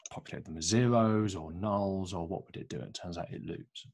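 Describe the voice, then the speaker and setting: A man on a video call thinks out loud about code he tried, speaking in a halting, careful way. His voice sits on the low side. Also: creaky. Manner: thoughtful, halting. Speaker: tutorial participant. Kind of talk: casual question on a video call. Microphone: video call microphone.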